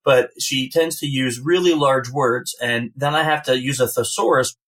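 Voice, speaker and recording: A man's voice: medium pitch, moderate pace, a bit bright. A man hosting a podcast in casual chat, with a joking, teasing and self-deprecating manner. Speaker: podcast host.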